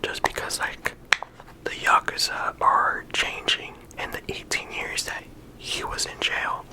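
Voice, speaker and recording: Hushed ASMR whisper, casual and halting. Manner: casual, halting phrasing with frequent "like" and "you know". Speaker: video creator and host. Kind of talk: whispered ASMR character discussion.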